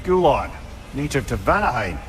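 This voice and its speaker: An actor playing a chatty, learned travelling companion in a video game, with a wry, erudite way of talking. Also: male voice, mid-range voice, very animated, normal speed, lightly acted. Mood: wry, curious. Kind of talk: video game cutscene acting. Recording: music behind the voice.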